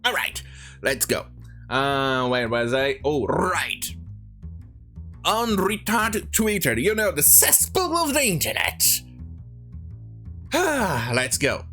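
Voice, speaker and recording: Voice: medium pitch, big pitch swings, slow pace, pauses often, pushed and bright, faint music behind. A commentator rambling through a sarcastic, mocking rant, often hesitating while improvising. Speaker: commentary host.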